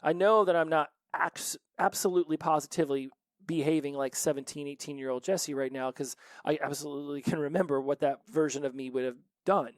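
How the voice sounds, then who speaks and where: A man's voice: on the high side, fairly even intonation, brisk, dry recording, clean studio sound. A man in his forties hosting a recovery podcast, giving an earnest solo motivational talk full of rhetorical questions. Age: 40s.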